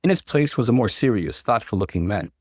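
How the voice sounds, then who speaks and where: Expressive low-voiced male story reader. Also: a little fast, dry recording. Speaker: story narrator. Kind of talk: reading an online story aloud.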